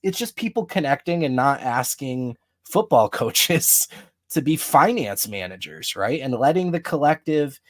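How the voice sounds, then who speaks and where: Steady man making his case on a podcast. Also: mid-range voice, a little slow. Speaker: podcast co-host. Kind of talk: casual sports podcast chat.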